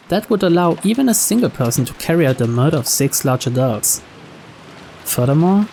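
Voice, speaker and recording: A man narrates a visual novel in a medium-pitched voice. He reads measuredly as a storyteller, with faint noise and rain-like ambience behind him. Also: darkish tone.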